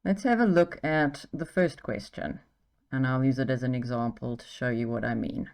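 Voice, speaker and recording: A woman's voice: rumbling low, normal speed, vocal fry, a little mellow. A woman with a South African accent, giving an exam-tips English lesson in an encouraging way, checking in with "okay" as she goes. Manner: encouraging, uses okay and right often. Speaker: English teacher.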